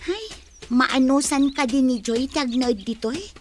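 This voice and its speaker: A woman's voice: high-pitched, unhurried, a little hiss or hum. A woman playing an earnest, respectful young student in a radio comedy drama. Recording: music under the voice.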